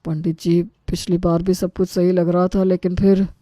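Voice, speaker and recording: A woman's voice: fairly low pitch, flat delivery, moderate pace, dark tone, dry recording, close to an everyday voice. Woman acting an anxious family man, dry sound. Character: anxious middle-aged family man, timid before his sister.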